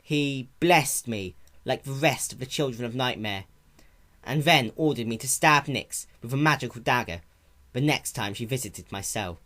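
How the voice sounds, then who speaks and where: A performer voicing a caring female pony in a cartoon-based story reading, soft, patient and reassuring like a big sister. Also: medium pitch, expressive, moderate pace, close to an everyday voice. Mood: guilty.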